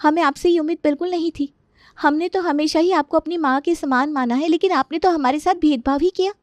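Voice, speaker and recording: A woman voices a young daughter-in-law in a storybook family drama, with a high voice. She sounds aggrieved and sarcastic, complaining in a dry, close recording. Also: steady tone, a little fast, no room sound, lightly acted.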